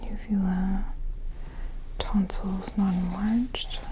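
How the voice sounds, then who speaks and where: A woman speaking softly, mostly in whispers, giving gentle clinical instructions in an ASMR medical roleplay. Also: medium pitch, level tone, slow, noisy background. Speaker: content creator playing a medical examiner.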